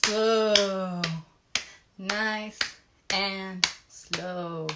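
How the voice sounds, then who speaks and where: A woman's voice: medium pitch, fairly even intonation, very slow. A woman hosting an interactive play session for children, giving instructive, encouraging directions straight to them. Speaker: children's live show host. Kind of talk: interactive kids' play session, teaching and singing a hand game. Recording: noisy live stream with clicks.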